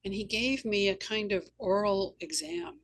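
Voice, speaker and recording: A woman's voice: medium pitch, animated, moderate pace. A woman hosting an interview, telling anecdotes in an earnest, emphatic conversational way. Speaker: host and novelist.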